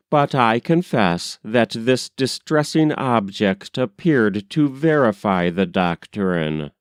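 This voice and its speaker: A man reading a historical memoir aloud as an audiobook narrator, in a measured, formal storytelling manner. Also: fairly low pitch, clear, resonant tone, no echo, studio quality. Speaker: audiobook reader.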